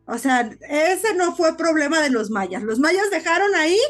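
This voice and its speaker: A woman's voice: very high-pitched, animated, measured pace, faint music behind. A woman with a Mexican accent co-hosting a casual podcast, talking in a rambling, searching way. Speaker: podcast co-host.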